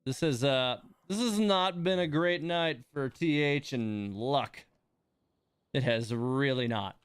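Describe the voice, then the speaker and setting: A man's voice: medium pitch, takes it slowly, smooth, no echo, clean studio sound. A man hosting a game livestream, chatting casually in a rambling, self-deprecating way. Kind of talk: casual livestream chat while playing a game.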